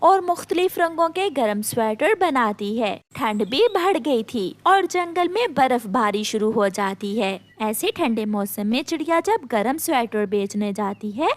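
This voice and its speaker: A woman's voice: on the high side, lively intonation, measured pace, hardly any pauses. A woman storyteller reading scripted narration for a children's story.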